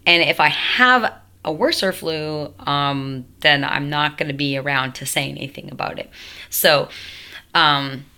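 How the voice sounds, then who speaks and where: A woman's voice: low-pitched, a little slow, sharp tone, smooth. A young woman vlogging at home, telling her story in a rambling, self-interrupting way full of fillers, her voice changed after a tracheotomy. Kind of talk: casual storytelling to camera.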